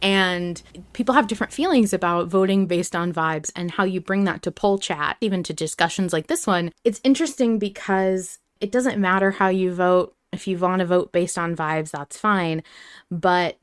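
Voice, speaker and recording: A woman's voice: fairly low pitch, normal speed, smooth. A woman hosting a fan podcast, talking casually in a candid, analytical way and swearing now and then. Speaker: podcast host.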